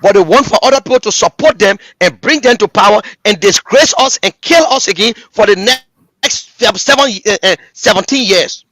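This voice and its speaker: A man with a Liberian English accent speaks in a high, ringing voice. He drives his political points home with repetition and direct questions to his listeners. The sound is close and dry, with no room echo. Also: expressive, moderate pace, a bit bright. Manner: impassioned, repetitive, rhetorical address to listeners. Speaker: talk show host. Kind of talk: political commentary.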